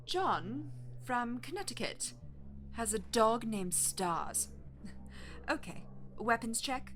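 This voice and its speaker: A woman's voice: fairly high pitch, very animated, slow, music in the background. An actress playing a breezy female lab assistant in an audio drama, talking in an offhand, conversational way. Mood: breezy, casual. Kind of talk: binaural audio drama acting.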